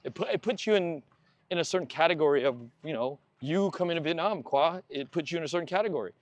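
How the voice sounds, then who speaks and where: A man's voice: a little high, quick pace. A man in his forties, a guest on a walking chat, talking loosely with repeated words and fillers. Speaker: podcast host, guest. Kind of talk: casual conversation while walking. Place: outdoors on a walk.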